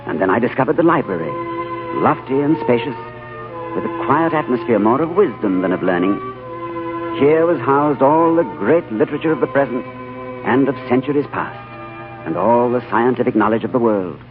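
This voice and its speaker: Man acting a cultured gentleman, muffled old radio. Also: medium pitch, animated, normal speed, natural voice. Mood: reflective. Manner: urbane, polished, courteous. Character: cultured, composed, shrewd British diplomat narrator. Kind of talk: old-time radio drama acting and narration. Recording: muffled vintage radio broadcast with music.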